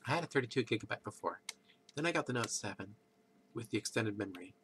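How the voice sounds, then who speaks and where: A man's voice: medium pitch, fairly bright tone. A man with a congested, stuffy voice, just woken up and casually rambling through gameplay commentary from his computer at home. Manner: rambling, thinks aloud with pauses. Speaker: let's play host. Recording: background noise with keyboard clicks.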